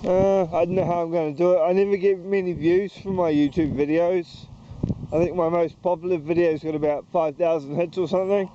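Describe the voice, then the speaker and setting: A man with a New Zealand accent talks in a halting, wandering way, pausing and restarting his thoughts. His voice is very dark and mellow, set a little higher than most men's. The sound is dull and lo-fi, with faint outdoor noise behind him. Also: steady tone. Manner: hesitant, halting, slow and wandering. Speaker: YouTuber. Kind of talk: rambling walk-and-talk vlog. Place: outdoors walking around town.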